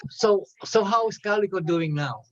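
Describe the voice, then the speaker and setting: A man's voice: high voice, moderate pace, muffled recording. A man working as an interviewer in a casual conversation.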